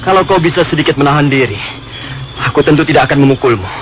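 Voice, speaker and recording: A man playing a calm, unafraid wandering swordsman in an Indonesian radio drama, speaking with measured, gentle mockery. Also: on the high side, over music, lightly acted. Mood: firm, unafraid. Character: calm, defiant male wandering swordsman on horseback. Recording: faintly noisy old radio drama recording.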